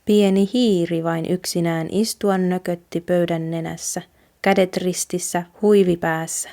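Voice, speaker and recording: Mellow woman reading a folk tale. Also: lowish voice, fairly even intonation. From Finland. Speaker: Finnish teacher reading aloud. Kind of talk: storybook narration of a folk tale.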